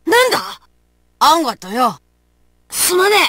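A woman voicing a brash, rough-talking young tomboy warrior in a video game, with slangy, masculine phrasing. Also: sits high, wildly swooping pitch, a little slow, sharp tone, no room sound, studio quality, full character voice. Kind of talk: video game battle voice lines.

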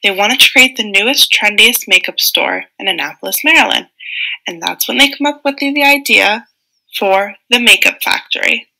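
A young woman narrates a prepared script for a class presentation, working through lists one item at a time. Her voice is very bright and sharp, with a gravelly edge of vocal fry, and her pitch is ordinary. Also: moderate pace, poor audio. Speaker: student presenter. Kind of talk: scripted narration of an animated case study.

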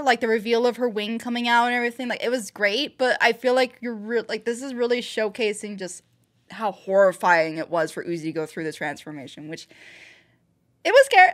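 A woman's voice: a little high, fairly bright tone. A woman hosting a reaction video, thinking aloud in rambling, casual commentary with bursts of exclamation.

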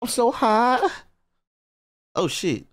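A young man giving casual, excitable, sweary reaction commentary on a film, close on a studio mic. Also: extremely high pitch, drawn-out words, no echo. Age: young adult. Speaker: reaction video host. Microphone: close studio mic on boom arm. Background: film music.

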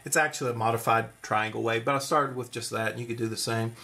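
A man's voice: mid-range voice, steady tone, fast talker, creaky, sharp tone. A man giving an informal hands-on electronics demonstration, explaining as he goes and thinking aloud. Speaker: electronics tutorial presenter. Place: at a desk indoors.

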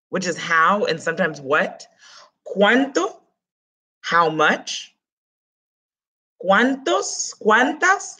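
A woman's voice: fairly low pitch, fairly bright tone. A woman in her thirties teaching a Spanish lesson online from a small room at home, speaking with a Dominican accent on the Spanish words and making chatty, joking asides to her students. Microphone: webcam close up.

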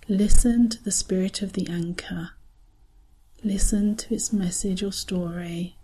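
Slow, mellow woman guiding a meditation. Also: mid-range voice, husky, rough recording. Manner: calm, soothing, unhurried with long pauses. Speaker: guided meditation narrator. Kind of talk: guided visualisation, scripted meditation narration.

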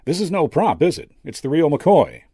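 A man's voice: fairly high pitch, very animated, normal speed, mellow, breathy edge, no room sound, clean studio sound, pitched above the performer's own voice, heavily acted. A man voicing a slick, cynical younger stage magician with a cocky, slangy drawl, in an audiobook story. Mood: amused, curious. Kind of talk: character dialogue in an audiobook story.

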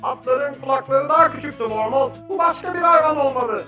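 A woman voicing a playful, teasing little creature in a children's educational cartoon, lively and exclamatory. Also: high voice, brisk, lightly acted. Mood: upbeat. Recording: roomy, distant sound with faint music.

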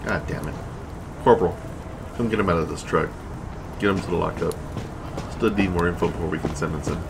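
A man playing a gruff, commanding checkpoint captain in an audio drama, short-tempered and curt in military phrasing. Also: low voice, a little fast, over music. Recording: noisy vehicle and outdoor ambience behind.

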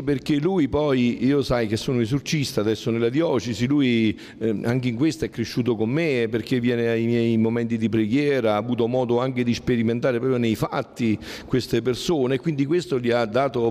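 A middle-aged friar speaks earnestly in a reverberant hall, voice trailing on long echoes. He hesitates often, restarting phrases with soft fillers as he explains. The pitch sits in a plain middle range. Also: steady tone, normal speed, few pauses. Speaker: friar and exorcist. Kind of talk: interview answers.

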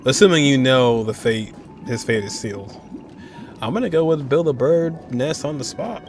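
A young man reacting to a game, relaxed and musing, laughing often. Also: medium pitch, normal speed. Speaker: gaming reaction streamer. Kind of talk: casual reaction commentary. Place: indoor room at desk. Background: game music.

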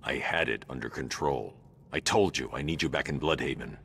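An actor playing a grim, stern vigilante hero in a video game, terse and commanding with a gravelly voice. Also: male voice, brisk, fairly bright tone, slight background noise. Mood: stern, controlled. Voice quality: deep, gravelly.

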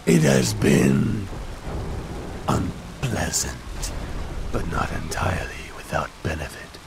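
An actor playing an ancient, haughty, menacing vampire overlord in a video game cutscene, with gravelly, deliberate speech. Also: male voice, a bass voice, lively intonation, background noise, full character voice. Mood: cold menace.

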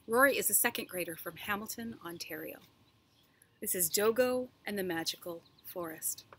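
A middle-aged woman reads a children's story aloud in an expressive, animated way, with deliberate enunciation and dramatic pauses. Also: mid-range voice. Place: outdoors on a backyard wooden deck. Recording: faint outdoor background noise with birdsong.

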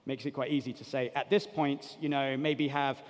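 A man in his thirties giving a live software demo as a conference presenter, explaining things informally. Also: medium pitch, steady tone, moderate pace. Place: large indoor conference stage. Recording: reverberant hall stage recording.

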